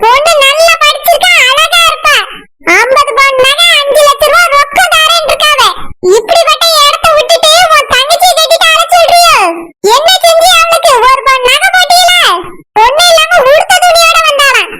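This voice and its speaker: A woman voicing a haughty, scolding middle-aged mother-in-law in a shrill, contemptuous manner. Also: steady tone, moderate pace. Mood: scornful. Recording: loud background noise.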